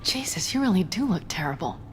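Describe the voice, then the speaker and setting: Blunt, caring nomad woman, low voice, brisk, frank, video game, clear music behind. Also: sing-song. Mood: concerned, urgent.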